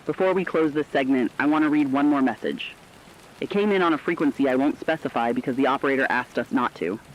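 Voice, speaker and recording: A man's voice: mid-range voice, a little hiss or hum, close to an everyday voice. Lo-fi, solemn late-broadcast radio host acted by a man. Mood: steadfast, moved. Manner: solemn, measured, poetic. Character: steadfast, solemn male host of a last-ditch radio station. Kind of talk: scripted dramatic radio broadcast.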